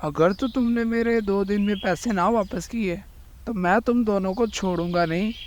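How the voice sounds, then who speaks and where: A man's voice: high voice, moderate pace, a little mellow, a little hiss or hum, close to an everyday voice. A man voicing a threatening, bullying eagle moneylender in a cartoon. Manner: threatening, demanding. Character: menacing male eagle moneylender, a bullying villain. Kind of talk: Hindi cartoon voice acting. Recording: faint music behind the voice.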